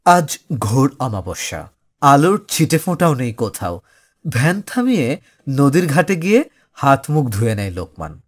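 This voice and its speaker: A male narrator tells a scripted horror story. His voice is medium-pitched and rough-edged, and his melody swings widely for dramatic effect. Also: big pitch swings, normal speed.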